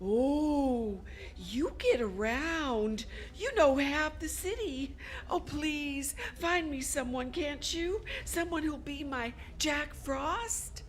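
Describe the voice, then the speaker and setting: Woman playing a high-voiced, flustered lady manager. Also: takes it slowly, hardly any pauses. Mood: pleading. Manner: anxious, imploring, gushing. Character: flustered, pleading woman department-store manager. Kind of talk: old-time radio drama acting.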